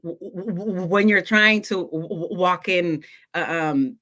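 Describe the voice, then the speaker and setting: A woman coach who stutters a lot on first sounds, talking in a relaxed podcast chat. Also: fairly low pitch, a little slow. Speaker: confidence coach and guest.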